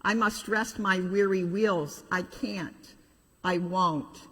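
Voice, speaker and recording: A woman's voice: medium pitch, slow pace, fairly bright tone. A woman voicing a weary old male train engine in a children's storybook, tired and unwilling. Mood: weary, refusing. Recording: reverberant hall.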